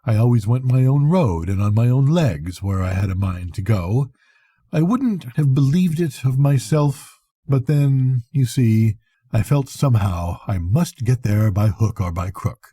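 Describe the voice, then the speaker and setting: An older man, a grandfather, reading a literary bedtime story aloud in an unhurried, conversational storytelling manner with a husky voice. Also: medium pitch, lively intonation, mellow, no room sound, clean studio sound. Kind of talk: expressive literary audiobook reading.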